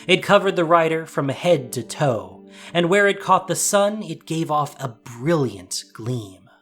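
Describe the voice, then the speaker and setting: A man reading a scripted fantasy story as narrator, with measured, deliberate, suspenseful phrasing. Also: fairly high pitch, sing-song, slightly rough. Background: soft music.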